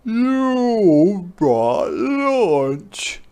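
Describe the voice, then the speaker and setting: A man voicing a delighted, greedy male goat in loud, drawn-out storybook exclamations. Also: sits high, big pitch swings, clear, resonant tone, pitched above the performer's own voice, full character voice. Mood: surprised delight. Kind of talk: storybook character voice.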